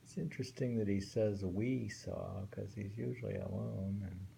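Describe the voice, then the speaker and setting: A man's voice: fairly low pitch, steady tone, moderate pace, vocal fry, dark tone. A man casually reading translated poems aloud and musing about them, hesitant and thinking aloud. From New York. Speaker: poetry reader. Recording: faint background noise.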